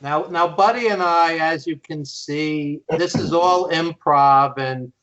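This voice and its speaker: A man's voice: a little high, takes it slowly, a bit bright. A man hosting a podcast, interviewing casually in an off-the-cuff, conversational style. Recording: dull lo-fi call audio.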